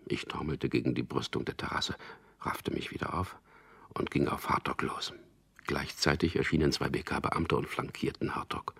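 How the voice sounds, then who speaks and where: An actor playing an earnest young suitor who narrates a radio drama in an intimate, measured, husky voice. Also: male voice, steady tone, a bit bright, in a whisper, exaggerated voice. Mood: reflective narration. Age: young adult. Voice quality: low, gravelly, husky. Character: young propaganda clerk Peter, narrator and tender suitor. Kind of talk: radio drama acting with first-person narration.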